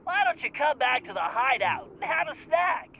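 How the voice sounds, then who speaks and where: Nerdy young male gang planner for an animated game, extremely high register, cautious, precise, lecturing delivery. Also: normal speed, muffled recording, a little hiss or hum, exaggerated voice. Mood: reassuring, instructive. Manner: precise, fussy, explanatory. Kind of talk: animated video game voice acting.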